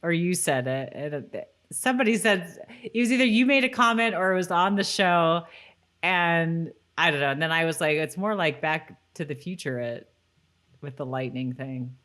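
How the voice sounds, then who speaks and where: A woman's voice: on the low side, normal speed, a bit bright. A woman co-hosting a podcast in a casual, informal, conversational style. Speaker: podcast co-host. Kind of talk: casual rewatch chat.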